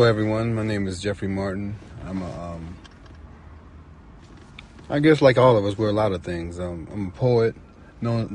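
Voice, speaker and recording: A middle-aged man talking informally and personally as a storyteller, reflective and halting, repeating phrases for emphasis. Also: lowish voice, a little mellow, a little hiss or hum. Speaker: poet and novelist, featured storyteller.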